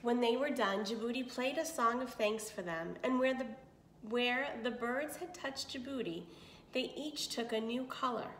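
Higher-pitched woman reading aloud, echoey room. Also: normal speed, fairly bright tone, slight background noise. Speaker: storyteller reading aloud to children. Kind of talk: picture book read-aloud with asides.

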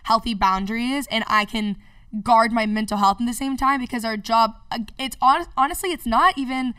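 A woman of twenty-three hosting a podcast, chatty and bubbly, quick to agree and cheer her guest on. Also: fairly high pitch, sharp tone, no echo, clean studio sound, recorded from a distance. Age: 20s. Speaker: podcast host. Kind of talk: casual interview chat. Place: podcast studio. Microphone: studio mic on stand, headphones.

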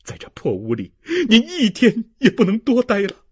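A man voicing a passionate young gentleman in an audiobook, emphatic and declamatory, overwhelmed with grateful emotion. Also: high voice, huge pitch swings, moderate pace, breathy edge, pitched above the performer's own voice, full character voice. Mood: insistent.

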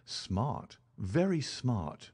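Audiobook role: aloof master detective read by a man, unhurried, sententious, murmured delivery. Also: mid-range voice, very animated, darkish tone, breathy edge, heavily acted. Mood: cool, sententious. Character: cool, sententious, dryly amused male master detective. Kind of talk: dramatised audiobook reading.

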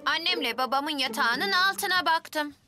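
A woman's voice: high voice, animated, a little slow, over music. A woman voicing a helpful, eager little boy, earnest and boyish, in a dubbed children's drama. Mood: helpful, determined.

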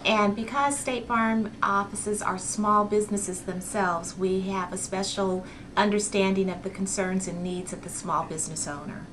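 A woman's voice: mid-range voice, steady tone, normal speed, a bit bright, a little hiss or hum, low-quality recording. A woman in her fifties, an insurance agent, answering matter-of-factly into a handheld mic.

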